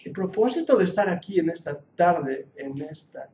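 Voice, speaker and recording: Catalan guy in his 40s teaching a bible class, kinda high voice, jokey then preachy, muffled echoey hall. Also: expressive, normal speed, darkish tone, a little hiss or hum. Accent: Catalan Spanish mixed with English. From Catalonia, Spain. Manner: earnest and jokey, rising to emphatic preaching. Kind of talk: classroom lecture, sermon-like. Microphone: handheld or lapel mic.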